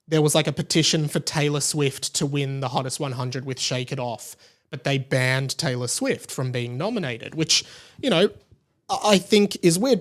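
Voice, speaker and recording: A man in his thirties with an Australian accent hosting a podcast solo, chatty and rambling in casual commentary. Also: high voice. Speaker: podcast host.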